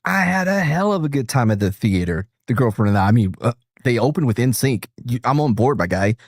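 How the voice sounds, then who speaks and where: A man's voice: mid-range voice, animated, fairly quick, no room sound, clean studio sound. A man hosting a casual group chat, joking and laughing often as he talks. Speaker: host.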